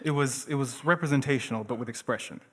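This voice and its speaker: A man's voice: mid-range voice, quick pace, a bit bright, slightly rough. A man playing a painter's opinionated, fond brother in stage play dialogue. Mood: admiring. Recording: reverberant stage hall from afar.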